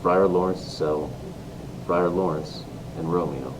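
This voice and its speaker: A man's voice: fairly even intonation, takes it slowly. A man reading out stage directions as a scripted narrator. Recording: distant mic, noisy rumbling background.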